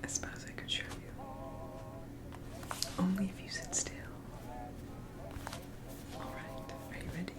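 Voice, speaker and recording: A woman playing a tender, teasing early twentieth-century sweetheart in an ASMR roleplay, speaking in a breathy whisper with a gentle, coaxing, playfully bossy manner. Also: mid-range voice, a little slow, long pauses, natural voice. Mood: coy, tender.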